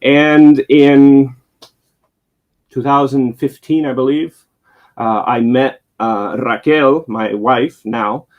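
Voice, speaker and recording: A man's voice: medium pitch, fairly even intonation, slow, narrow, tinny audio. A young man who grew up in Spain, a missionary guest answering interview questions in a hesitant way, with frequent ums and restarts. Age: young adult. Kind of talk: interview conversation.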